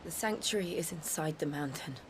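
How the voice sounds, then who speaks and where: Woman acting a serious guarded warrior girl in a fantasy game, slightly low voice, measured, noisy background. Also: close to an everyday voice. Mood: solemn. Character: solemn, guarded young woman warrior, haunted but determined. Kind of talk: cinematic video game acting. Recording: noisy game ambience behind.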